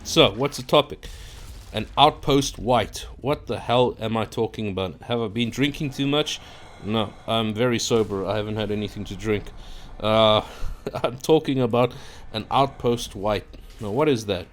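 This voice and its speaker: A man's voice: fairly low pitch, moderate pace. A man from Africa, talking casually into his own microphone in an unscripted, rambling monologue full of fillers and restarts. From South Africa. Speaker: YouTuber. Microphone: own microphone at a computer.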